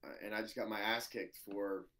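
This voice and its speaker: A hoarse man in his thirties with a slightly low voice talks in a loose, rambling way. He tells stories as a guest, leaning on fillers and the odd swear word. His throat sounds worn out. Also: fairly even intonation, normal speed, a bit bright. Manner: loose, filler-heavy storytelling, swears freely. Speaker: jiu-jitsu professor, guest. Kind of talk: casual interview chat.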